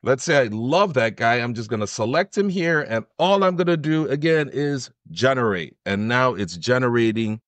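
A middle-aged man explaining software in an enthusiastic, conversational tutorial style. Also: mid-range voice, expressive, normal speed, hardly any pauses, no room sound. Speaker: tutorial host.

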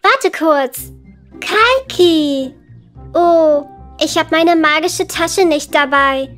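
A woman voicing a cheerful, helpful little girl in a children's educational cartoon, with clear, simple, instructive phrasing. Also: high-pitched, very slow, a bit bright, soft background music, full character voice. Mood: friendly, helpful.

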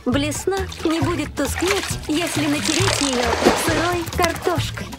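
A woman voicing a polite, eager voice-assistant gadget in a cartoon, measured and sweetly obliging. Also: sits high, loud music behind the voice. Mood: informative. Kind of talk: animated cartoon acting.

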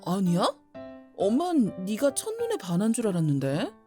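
여자가 연기하는 다정한 중년 꽃집 엄마. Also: female voice, medium pitch, huge pitch swings, normal speed, warm tone, faint music behind. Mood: warm teasing. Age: middle-aged. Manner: affectionate and teasing. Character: warm middle-aged mother who runs a flower shop.

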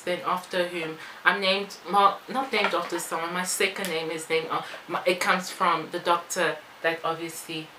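A woman, chatting casually and wandering into asides as she does a makeup tutorial and Q&A. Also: lowish voice. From born in Belgium, moved to South Africa. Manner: informal, rambling asides while busy applying makeup. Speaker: beauty vlogger. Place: small bare-walled room indoors. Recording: echoey room with some background noise.

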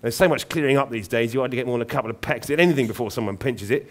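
A man voicing a huge raven with a loud, throaty voice and a London accent, cheerfully morbid. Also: medium pitch, rapid-fire, pitched above the performer's own voice, close to an everyday voice. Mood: gleeful.

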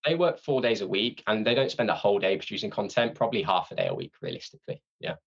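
A man with a lowish, gravelly voice answering questions on a podcast. He talks with energy, in a loose conversational way, often repeating a word as he restarts. The audio sounds dull and lo-fi. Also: wildly swooping pitch, fast. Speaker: founder of a sales training company.

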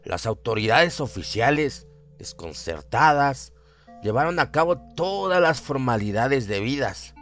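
A man's voice: mid-range voice, sing-song, unhurried, fairly bright tone. Male horror narrator over music. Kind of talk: scripted horror story narration, radio drama. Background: atmospheric music and sound effects.

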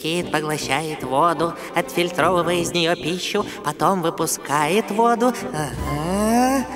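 A man's voice: on the high side, slow pace. A man voicing a small, dramatic, bookish monkey in a dubbed children's cartoon, theatrical and exclamatory. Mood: pondering, worried. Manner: exclamatory, theatrical, self-talking. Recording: music under the voice.

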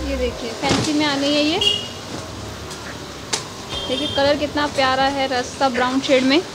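A woman's voice: high voice, level tone, moderate pace, over loud music, low-quality recording. A young woman as a video reporter in a shop, talking into a handheld mic with gushing, upbeat sales patter. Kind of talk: promotional shop tour. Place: indoor wholesale shop.

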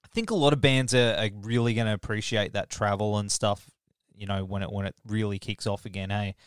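A man's voice: on the low side, fairly even intonation, fairly quick, clean tone, dry recording, clean studio sound. A man hosting a podcast, asking questions in a friendly, laid-back, matey way. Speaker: podcast host. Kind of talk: casual interview.

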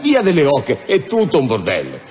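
A man's voice: mid-range voice, normal speed, a little hiss or hum. An actor in a radio drama playing a chatty, jovial, deferential policeman with a colloquial way of talking. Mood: chatty, amused. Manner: animated, colloquial, repetitive. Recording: dull radio drama recording.

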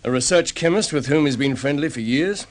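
An actor playing a calm, methodical detective sergeant laying out a case in an old radio drama. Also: male voice, fairly high pitch, natural voice. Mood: measured. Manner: measured explaining. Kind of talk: vintage radio drama acting.

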